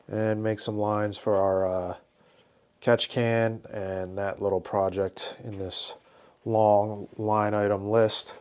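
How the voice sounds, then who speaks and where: A man's voice: fairly low pitch, a little mellow. A congested man with a head cold narrating his car build in a cluttered garage, laid-back and thinking aloud with restarts. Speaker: car builder filming his shop project. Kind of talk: casual how-to narration. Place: cluttered home garage shop.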